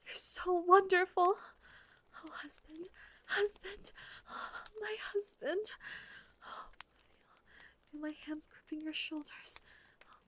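Very high, slow, breathy whispering woman. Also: a little hiss or hum. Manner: soft, pleading, affectionate murmuring with sighs. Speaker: audio roleplay performer. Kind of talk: intimate whispered audio roleplay.

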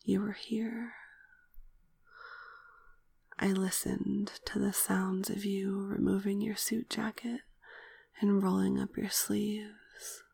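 A woman narrating a scripted, sensual first-person story in a hushed, breathy whisper, speaking in short deliberate phrases. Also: mid-range voice, little pitch movement. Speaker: narrator of erotic audio story.

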